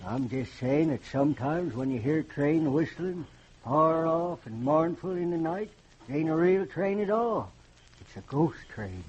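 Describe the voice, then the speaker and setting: An actor playing an old American hobo, genial and folksy, rambling in colloquial speech in a 1940s radio drama style. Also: male voice, fairly high pitch, animated, measured pace, darkish tone, breathy edge, dull audio. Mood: eerie, wistful. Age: older. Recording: noisy vintage radio recording.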